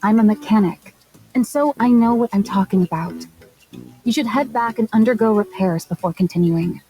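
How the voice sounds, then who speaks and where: A woman's voice: mid-range voice, moderate pace, dark tone, lightly acted, poor audio. A woman playing a blunt, exasperated young mechanic in a video game, speaking in a dry, scolding manner.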